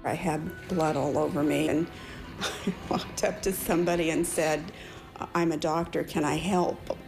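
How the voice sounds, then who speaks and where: Middle-aged woman, low gravelly voice, halting interview recollection over music. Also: steady tone. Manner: halting, reflective. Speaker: crash survivor, doctor.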